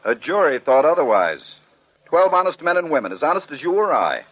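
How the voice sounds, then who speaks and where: Stern, sardonic commissioner, high-handed, swinging intonation, muffled 1940s radio drama sound. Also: male voice, big pitch swings, fairly quick. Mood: firm, sardonic. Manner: sarcastic, high-handed, rhetorical questioning. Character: stern, skeptical male commissioner, unyielding defender of jury verdicts.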